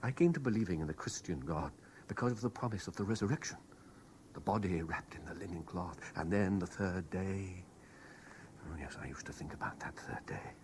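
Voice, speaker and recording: A man's voice: sits low, brisk, darkish tone, natural voice. An actor in a 1970s radio drama playing an obsessed, domineering man haunted by a lost love, intense and insistent. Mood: brooding, haunted. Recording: noisy vintage radio broadcast recording.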